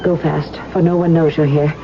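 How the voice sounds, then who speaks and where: An actress playing a sorrowful, resigned young wife with quiet bitterness, measured and wistful in a 1970s radio drama. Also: female voice, low-pitched, little pitch movement. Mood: resigned, mournful. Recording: dull, noisy vintage radio recording.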